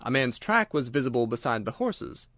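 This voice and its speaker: A man's voice: medium pitch, very animated, moderate pace, fairly bright tone, no echo, clean studio sound. A man reading scripted narration of a classic detective story.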